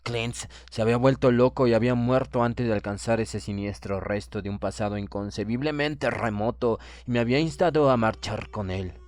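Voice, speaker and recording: A man reading a literary horror tale as an audiobook narrator, in a measured, formal and solemn dramatic delivery. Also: mid-range voice, no room sound.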